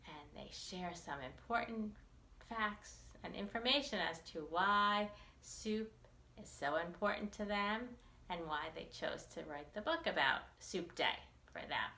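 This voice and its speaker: A woman reading a picture book aloud for young children, expressively and with deliberate enunciation. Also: medium pitch, pushed and bright, reverberant. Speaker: children's librarian storytime reader.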